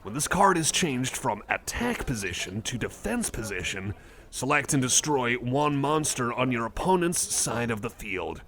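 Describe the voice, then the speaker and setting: A man voicing a friendly, nerdy cyborg card collector in an ASMR roleplay, rambling casually with a halting, apologetic manner. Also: medium pitch, big pitch swings, a bit bright. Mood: curious, casual. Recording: cafe chatter behind the voice. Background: cafe crowd ambience.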